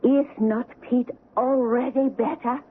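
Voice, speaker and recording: A woman playing a devoted, superstitious young island woman in a radio drama, speaking formally and deliberately. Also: sits high, steady tone. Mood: solemn. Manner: slow, deliberate, formal phrasing. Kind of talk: 1970s radio drama acting. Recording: tinny vintage radio with background noise.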